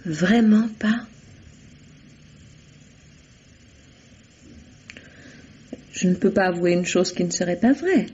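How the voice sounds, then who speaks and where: An actor playing an evasive, defensive murder suspect in a vintage radio mystery, hesitant and guarded under questioning. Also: male voice, sits high, normal speed, darkish tone, slightly rough. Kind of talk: 1950s French radio mystery acting. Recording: dull lo-fi old radio recording.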